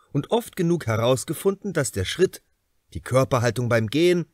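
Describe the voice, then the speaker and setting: Expressive male audiobook narrator, lively intonation. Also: mid-range voice, dry recording, clean studio sound. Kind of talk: scripted narration of a crime novel.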